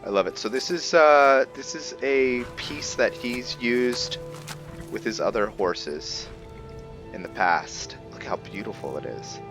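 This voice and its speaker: A man's voice: medium pitch, animated, takes it slowly, over music. A man hosting a gameplay video, talking informally and thinking aloud to his viewers in casual roleplay commentary. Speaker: gaming video host.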